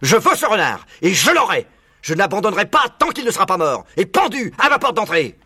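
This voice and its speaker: Villainous skinny farmer, performed by a male narrator: loud, high, irate, barking orders, hard of hearing, children's storybook. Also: lively intonation, brisk, dry recording, clean studio sound. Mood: furious. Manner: shouting, bossy, commanding. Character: furious, grimy, skinny, half-deaf villainous farmer.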